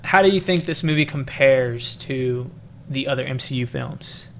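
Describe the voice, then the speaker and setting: A young man in a loose, unscripted review conversation, with a mid-pitched voice. He feels his way through each thought, rambling and rephrasing, over faint ambient noise. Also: normal speed, a bit bright. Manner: hesitant, rambling, circles back to rephrase. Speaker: film review co-host. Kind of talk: casual unscripted movie chat.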